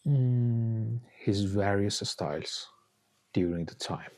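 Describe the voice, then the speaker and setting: Slow, deep-voiced Iranian man, hesitant. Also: a little mellow. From Iran. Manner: hesitant, pausing with many uh fillers. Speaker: artist guest. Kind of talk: casual interview chat.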